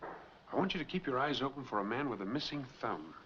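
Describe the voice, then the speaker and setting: A man's voice: mid-range voice, expressive, a little fast, fairly bright tone, close to an everyday voice. A middle-aged American man playing a weary, earnest fugitive wronged by the law, in 1940s film noir style. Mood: urgent, earnest. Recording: dull, noisy 1940s film soundtrack.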